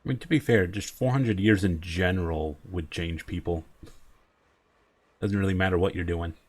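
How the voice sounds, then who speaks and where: Low-voiced woman streaming a game. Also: moderate pace, darkish tone. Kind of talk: casual playthrough commentary. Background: faint game music.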